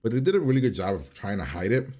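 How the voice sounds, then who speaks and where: A man's voice: fairly low pitch, sing-song, racing, mellow. A man hosting a reaction video, giving a casual, informal review and rambling as he repeats and revises his points.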